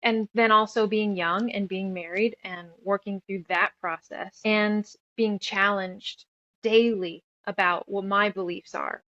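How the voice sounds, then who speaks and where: A woman's voice: medium pitch, steady tone, measured pace, sharp tone, dry recording, highs cut off. A woman in her thirties telling her story casually as an interview guest, rambling and emphatic, piling up lists as she goes. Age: 30s. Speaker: guest author and musician.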